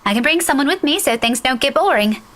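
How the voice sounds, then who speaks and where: An actress playing a young grown daughter in a visual novel, talking in a light, reassuring way. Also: female voice, high voice, lively intonation, quick pace, a bit bright.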